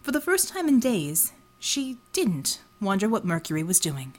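Woman narrating fiction with lively intonation. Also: medium pitch, normal speed. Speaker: audiobook narrator.

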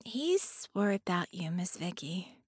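A woman's voice: on the low side, sing-song, a little slow, husky, no room sound, studio quality, exaggerated voice. A woman voicing a shy, hesitant young girl with folksy Southern speech in an audiobook. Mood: shy, earnest. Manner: hesitant, plain-spoken, ungrammatical. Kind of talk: audiobook character voice.

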